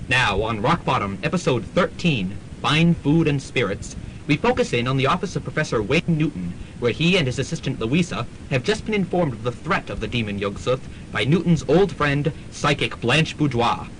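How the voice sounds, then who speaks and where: A man's voice: medium pitch, lively intonation, normal speed, a bit bright, a little hiss or hum, close to an everyday voice, rough recording. A man playing a wry announcer, reading scripted narration for a radio serial. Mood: wry, announcing.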